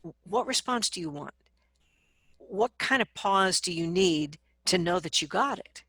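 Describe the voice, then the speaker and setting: Slightly low-voiced woman coaching in conversation. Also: lively intonation, measured pace, sharp tone, breathy edge, dry recording. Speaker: vocal coach being interviewed. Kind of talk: conversational interview, explaining advice with examples.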